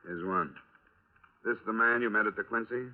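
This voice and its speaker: A thin, tinny old radio recording of a hard-boiled American investigator, played by a male actor. His voice sits low, with clipped, matter-of-fact phrasing. He is dry and insistent, in the manner of a 1950s radio crime drama. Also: normal speed, lightly acted. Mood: pressing, insistent.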